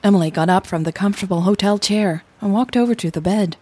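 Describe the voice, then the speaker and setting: A woman podcast host reading scripted audio drama narration in a measured, intimate way with deliberate pauses. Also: mid-range voice, a little mellow, husky.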